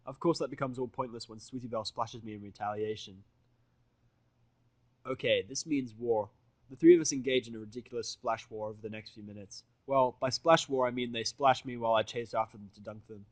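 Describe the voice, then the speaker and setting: A man's voice: mid-range voice, dry recording. A man reading a first-person story aloud as narrator, with an informal, wry delivery. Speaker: fan fiction reader.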